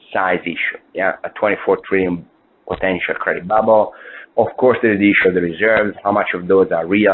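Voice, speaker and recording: A man presenting on a conference call as a fund's investment officer, talking through views with repeated words and restarts. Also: sits low. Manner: fillers and restarts, emphatic repetition. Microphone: conference call phone line. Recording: tinny phone line.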